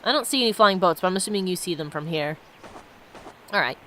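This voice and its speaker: A woman's voice: fairly low pitch, expressive, quick pace, fairly bright tone, a little hiss or hum. A woman giving casual game commentary, with wry asides between bits of dialogue she reads aloud. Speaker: let's play host.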